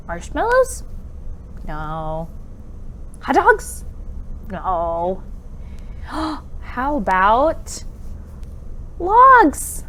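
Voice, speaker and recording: A woman leads a storytime for small children at a very slow, deliberate pace. Her pitch swings widely as she exclaims, asks questions and counts in playful songs. Some background noise sits behind her voice. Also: low-quality recording. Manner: animated, exaggerated exclamations and questions to young children. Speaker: children's library storytime presenter.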